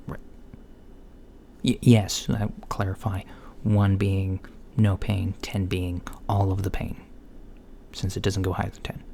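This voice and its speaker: A soft-spoken man playing a mild, curious alien doctor in an ASMR roleplay, hesitant and politely clinical, often dropping toward a whisper. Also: lowish voice, gravelly. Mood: mildly curious. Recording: lo-fi with faint background noise.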